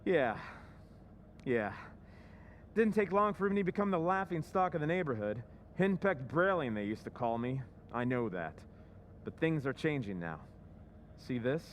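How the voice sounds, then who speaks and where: A man's voice: a little high, lively intonation, normal speed, pauses often, slight background noise, natural voice. A man playing a once-timid husband with a secret scheme, speaking in a sly, conspiratorial way in a radio drama recreation. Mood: giddy. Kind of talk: old-time radio drama acting.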